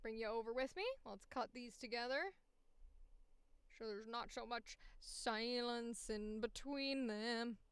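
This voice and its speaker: A woman's voice: fairly high pitch, fairly even intonation, slow, clear, resonant tone, dry recording. A woman chatting casually to herself and her livestream as she works, in a playful, offhand manner. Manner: playful self-talk with sing-song filler noises. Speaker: voice actor and streamer recording auditions. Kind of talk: casual livestream chat while working.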